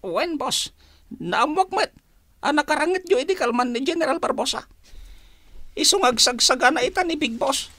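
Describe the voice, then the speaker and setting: An anxious female informant in a Filipino radio drama. The voice sits very high, with a Filipino accent and a dry, close sound. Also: expressive, normal speed, long pauses, a bit bright, dry recording. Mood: urgent, secretive.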